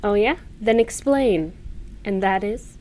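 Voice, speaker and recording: A woman's voice: medium pitch, takes it slowly, a little mellow, lightly acted. An actress playing a jealous, indignant girlfriend in a comedy audio drama, sarcastic and confrontational. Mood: sarcastic anger.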